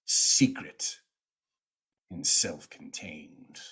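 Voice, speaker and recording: A man narrating a radio play as a theatrical storyteller, with a husky voice and a dramatic, relishing delivery. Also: extremely low pitch, animated, extremely slow, crowd noise, exaggerated voice. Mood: relishing, atmospheric.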